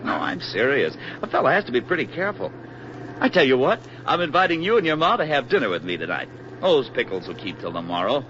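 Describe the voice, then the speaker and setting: An actor playing an affable, eager young army private on furlough in a folksy, talkative 1940s radio drama style. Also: male voice, medium pitch, sing-song, quick pace, fairly bright tone. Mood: cheerfully persistent. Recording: muffled, noisy old 1940s radio recording.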